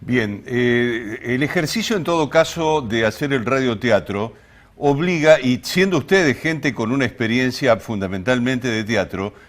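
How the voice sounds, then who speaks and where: A man with an Argentine accent hosting an interview in wordy, somewhat formal phrases. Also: mid-range voice. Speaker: interview host. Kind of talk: conversational interviewing.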